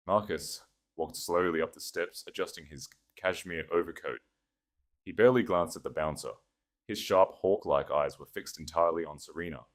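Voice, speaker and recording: A low-voiced man narrates a dramatic romance story. His delivery is scripted audiobook storytelling.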